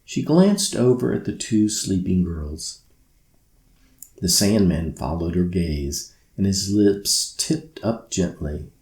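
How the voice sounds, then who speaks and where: A man's voice: low-pitched, animated, slow, darkish tone, reverberant. A man narrating a children's bedtime story expressively, as an author reading his own book.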